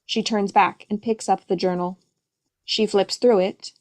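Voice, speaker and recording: Mid-pitched woman reading stage directions. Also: steady tone, unhurried, darkish tone. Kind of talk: scripted narration, present tense.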